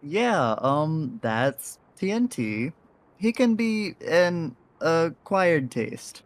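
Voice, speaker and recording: An actor playing a kindly, soft-hearted faceless figure in an audio drama, with an easygoing and reassuring way of talking. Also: mid-range voice, sing-song, very slow.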